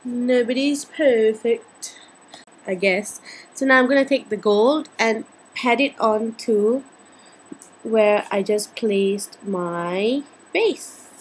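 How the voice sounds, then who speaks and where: A woman in her twenties with a Singaporean accent and a slightly husky voice, chatting playfully through a casual makeup tutorial. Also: fairly high pitch, takes it slowly, poor audio. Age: 20s. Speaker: beauty vlogger. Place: indoors, small home room.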